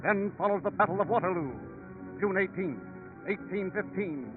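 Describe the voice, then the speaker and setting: A man's voice: sits high, normal speed, close to an everyday voice. Male radio narrator, dramatic, portentous, 1930s scripted delivery, narrow tinny recording, music behind. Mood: dramatic, suspenseful.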